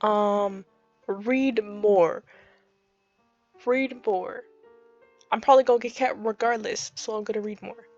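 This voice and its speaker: A woman's voice: medium pitch, steady tone, takes it slowly, pauses often, a little mellow, dull audio. A woman reading a visual novel aloud as a dramatic let's play narrator. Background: faint game music.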